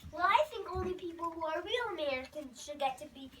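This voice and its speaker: A high-voiced young child performs lines in a school readers theater. The voice comes from some distance from the mic, with clear noise over the recording. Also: animated, fairly quick. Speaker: second-grade student performer. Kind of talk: scripted classroom readers theater.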